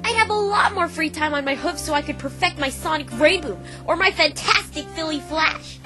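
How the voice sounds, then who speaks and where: Here is a woman acting as a brash young female cartoon pony, a pegasus with a high voice. She talks in a casual, cocky way and gets defensive, with music playing behind her. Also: normal speed, lightly acted. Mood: casual, explaining. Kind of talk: fan dub voice acting of a visual novel.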